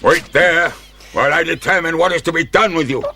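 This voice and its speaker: An actor playing a suave, sardonic judge in a radio drama, a husky voice and mock-courteous, commanding menace. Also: male voice, on the high side, brisk, pushed and bright, a little hiss or hum. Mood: cold menace. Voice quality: husky, gravelly.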